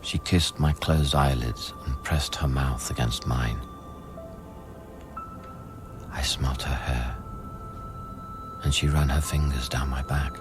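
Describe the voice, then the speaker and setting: Radio play lead: brooding, haunted scientist, extremely deep voice, measured and intimate. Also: male voice, steady tone, music in the background. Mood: tender, hushed. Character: brooding, haunted man of science, tender yet irritable. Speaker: lead actor and first-person narrator. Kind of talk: radio play, intimate literary narration and dialogue.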